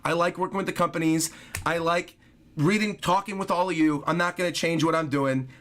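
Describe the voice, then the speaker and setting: A man hosting a casual livestream, reading viewer donations aloud and chatting in a hoarse, scratchy voice. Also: on the high side, fairly even intonation, a little fast, a bit bright. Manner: chatty, apologetic, thanks viewers often.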